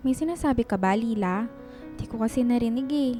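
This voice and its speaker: An actress playing a chatty, teasing young best friend in a radio drama. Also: female voice, fairly high pitch, brisk, warm tone, soft background music, lightly acted. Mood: teasing, friendly.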